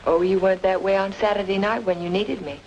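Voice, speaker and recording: An actress playing a determined, sharp-witted woman in a noir radio mystery, with crisp, insistent delivery. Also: mid-range voice, fairly even intonation, fast, highs cut off, a little hiss or hum, lightly acted. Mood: insistent, knowing. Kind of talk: old-time radio noir acting and narration. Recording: old radio drama with music behind.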